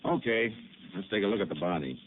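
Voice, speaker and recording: An actor playing a calm, methodical male Texas Ranger detective in a 1950s radio drama, asking measured questions. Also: low voice. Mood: steady, probing. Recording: tinny lo-fi old radio broadcast with faint hiss.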